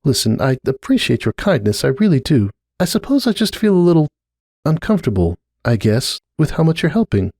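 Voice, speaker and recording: A man voicing a gently stubborn female pony mother in a dramatic fan fiction reading, hesitant and earnest, quick to defend herself. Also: a little high, very animated, dry recording, studio quality, pitched above the performer's own voice. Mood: embarrassed.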